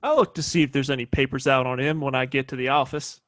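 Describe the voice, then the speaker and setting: A man's voice: mid-range voice, steady tone, fast, a bit bright, dry recording, clean studio sound, close to an everyday voice. Man acting whiny, spoiled young Western sheriff. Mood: sulky, obedient. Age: young adult. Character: bratty, petulant young sheriff, town boss's spoiled son. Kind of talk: Western audio drama acting.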